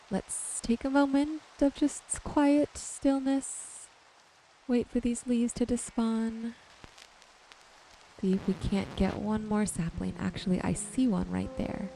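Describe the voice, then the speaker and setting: A woman narrating her gameplay in an ASMR style, with a gentle, soothing manner. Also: medium pitch, vocal fry, slight background noise, low-quality recording. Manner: gentle, unhurried, soothing narration of own actions. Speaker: host of a gaming channel. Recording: music behind the voice. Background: music.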